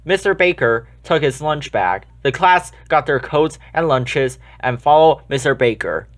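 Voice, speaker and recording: A man's voice: on the high side, expressive, a little slow. A man reading a children's book aloud as scripted narration. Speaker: storybook reader.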